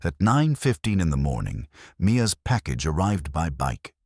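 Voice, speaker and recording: Very deep-voiced man narrating a detective story. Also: big pitch swings, unhurried, a little mellow, dry recording, studio quality. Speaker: audiobook narrator. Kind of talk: scripted first-person detective story narration.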